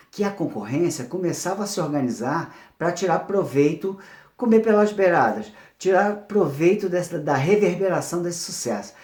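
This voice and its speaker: A man's voice: fairly high pitch, darkish tone. A Brazilian man presenting an informal, unscripted history talk, digressing and repeating phrases as he searches for words. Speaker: music producer presenting a series on soap opera soundtrack history. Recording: echoey, roomy sound.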